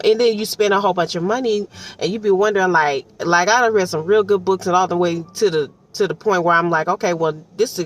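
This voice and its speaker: A woman from Detroit with an American accent gives casual, rambling commentary in a slangy, conversational way full of asides. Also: lowish voice. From Detroit, United States. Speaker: audiobook reviewer. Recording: distant mic with faint background noise.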